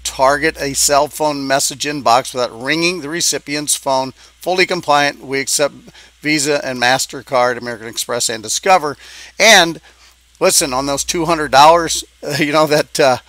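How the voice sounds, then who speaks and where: A man giving an informal sales pitch as a business coach, talking in a halting, conversational way and checking in with the listener. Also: a bit bright, no echo.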